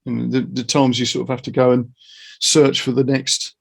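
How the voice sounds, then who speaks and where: A man's voice: medium pitch, little pitch movement, normal speed, gravelly. A middle-aged man hosting a casual chat, musing informally and circling round his ideas. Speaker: interviewer, guitar teacher.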